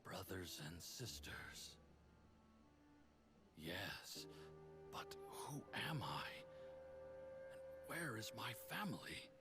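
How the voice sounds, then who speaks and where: An actor playing a dying warrior spirit with lost memory in a video game, speaking in a halting, solemn, anguished way. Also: male voice, deep voice, sing-song, full character voice. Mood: weary, confused. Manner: halting, solemn, laboured delivery. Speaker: game character. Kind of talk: dramatic game voice acting. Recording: loud music under the voice.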